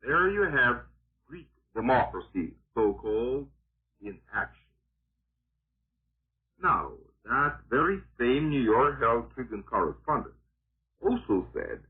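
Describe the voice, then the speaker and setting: A man's voice: medium pitch, husky. A man reading scripted 1940s radio political commentary in an emphatic, oratorical and sardonic manner. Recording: muffled, narrow-band vintage 1940s radio broadcast.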